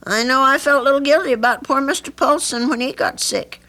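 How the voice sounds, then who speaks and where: An older woman playing a kindly, lonely elderly widowed landlady in old-time radio drama, gentle, fussing and motherly. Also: sits high, brisk. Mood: concerned, motherly. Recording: archival radio drama with music.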